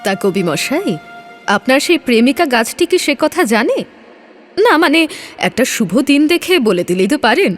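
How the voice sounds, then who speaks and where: A woman playing a poet's teasing sweetheart in an audio drama, gently chiding and playful. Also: high voice, big pitch swings, moderate pace. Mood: mischievous, mocking. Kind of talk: Bengali audio drama dialogue. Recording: music under the voice. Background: background music.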